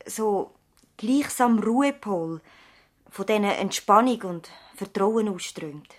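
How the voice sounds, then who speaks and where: Earnest young woman, medium-pitched. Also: animated, slow pace. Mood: earnest, concerned. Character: earnest, idealistic young woman from a doctor's family. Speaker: actor in a radio play. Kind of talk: 1970s radio drama dialogue.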